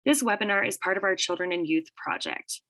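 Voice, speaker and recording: A woman moderating a webinar, reading the opening announcements in a brisk, businesslike way. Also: medium pitch, a bit bright, dry recording.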